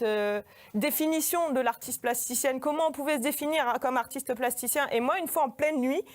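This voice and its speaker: A woman with a fairly high voice delivers a humorous performance lecture about herself. She is informal and self-deprecating, with a hesitant, searching way of talking to her audience. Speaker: artist giving a performance lecture. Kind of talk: humorous autobiographical stage monologue. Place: in front of a live audience.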